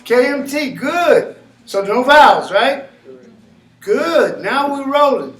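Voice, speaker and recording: A man giving an informal lecture to a small live audience, animated and often questioning the listeners. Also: very high voice, slow pace. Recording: echoey room, mic far off.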